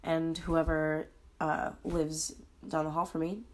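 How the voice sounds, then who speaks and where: Hoarse woman vlogging candidly. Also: sits low, flat delivery. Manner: earnest, confessional, with frequent ums and pauses. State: hoarse and sick. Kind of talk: candid personal vlog.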